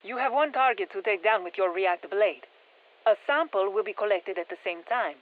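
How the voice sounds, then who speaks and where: A woman playing a brisk, tech-minded science operative in a video game, giving matter-of-fact, wry mission instructions over a radio filter. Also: medium pitch, animated, narrow, tinny audio. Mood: brisk, focused. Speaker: mission handler. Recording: thin band-limited radio comms sound.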